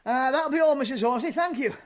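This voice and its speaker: An actor playing a timid, polite village vicar in a radio drama, hesitant and fluttery. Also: male voice, very high-pitched, fast talker, background noise. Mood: flustered politeness. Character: flustered, genteel male village vicar, guilty and timid.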